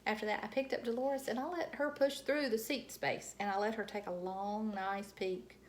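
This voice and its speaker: High-voiced woman reading a children's story. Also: normal speed, slight background noise. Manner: animated, dramatic emphasis. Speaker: reader of a children's book. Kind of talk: expressive read-aloud storytelling.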